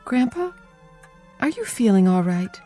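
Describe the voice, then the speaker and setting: An actress playing a gentle, worried adult granddaughter in a radio drama, soft-hearted and hesitant. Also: female voice, on the high side, very animated, a little slow, soft background music. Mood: gentle concern.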